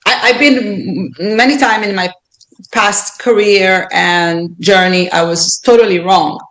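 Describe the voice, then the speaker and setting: A woman's voice: medium pitch, fairly even intonation, a little slow, a bit bright. A woman with an Israeli accent speaking as a podcast guest, earnest and reflective, often restarting and repeating words as she thinks aloud. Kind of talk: reflective interview talk.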